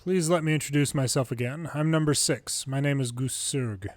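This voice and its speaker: A man reading a game script aloud in an expressive, deliberate and dramatic way. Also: medium pitch, no echo. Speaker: longplay video maker reading game dialogue.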